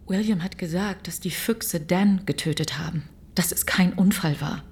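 An actress playing a fearful, doubting, loyal farmer's wife in a radio play, hushed, anxious and sceptical. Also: female voice, on the low side, moderate pace, a little hiss or hum, lightly acted. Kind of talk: German radio play acting.

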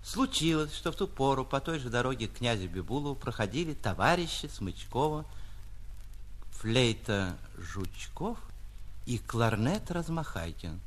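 A man, an actor reading a literary short story in an expressive, theatrical narrating style. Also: medium pitch, unhurried. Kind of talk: dramatic literary narration with acted dialogue.

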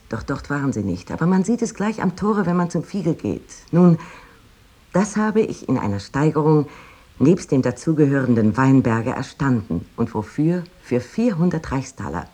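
An actress playing a proud, delighted 19th-century woman writer, reading out her letter in precise, expressive, old-fashioned literary diction. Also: a bass voice, moderate pace, husky, full character voice. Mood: proud, pleased.

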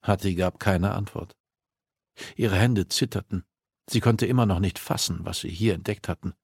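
Male audiobook narrator, lowish pitch, scripted dramatic reading, close mic, dry sound. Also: moderate pace, creaky, a little mellow, breathy edge, no room sound.

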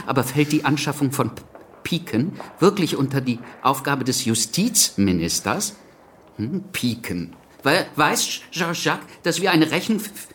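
A man's voice: mid-range voice, fairly bright tone, slight background noise. Stammering, fussy man, swinging intonation. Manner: hesitant, fussy, pedantic. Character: fussy, anxious, stammering male ministry official. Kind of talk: radio drama acting.

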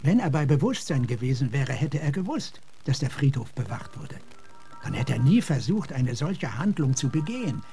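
Earnest philosophical officer type, mellow mid voice, measured, music behind, radio play. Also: male voice, mid-range voice, sing-song, gravelly. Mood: earnest reflective. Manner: measured, reflective, sometimes hushed. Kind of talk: German radio drama acting.

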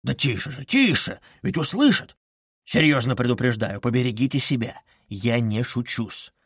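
Sly police investigator for an audiobook, pitched up high, teasing, coaxing and giggly, close dry sound. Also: male voice, expressive, moderate pace, sharp tone, no room sound, heavily acted. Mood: hushed warning. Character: wily, mocking, playfully cheerful male police investigator. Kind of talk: dramatized audiobook reading.

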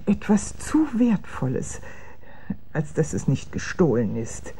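A woman's voice: low-pitched, very animated, slow, warm tone, breathy edge, exaggerated voice. An actress playing a fretful, respectable middle-aged aunt in a radio drama, nervous and hesitant in her speech. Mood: nervous confession.

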